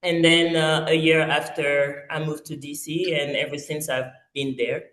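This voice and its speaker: A woman's voice: deep voice, steady tone, a little slow, fairly bright tone. Hesitant woman in an echoey room. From Congo-Kinshasa, raised in France. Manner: hesitant, many fillers and restarts. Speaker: guest. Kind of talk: casual interview storytelling. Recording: echoey roomy sound.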